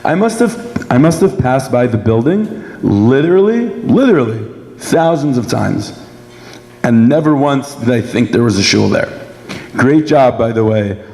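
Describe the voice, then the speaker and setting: A young man from Brooklyn with a sore throat gives a measured, prepared personal speech at a dinner event. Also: mid-range voice, darkish tone. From Brooklyn, New York, United States. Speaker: guest speaker giving a personal testimony. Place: large indoor event hall. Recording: echoey hall with long reverb.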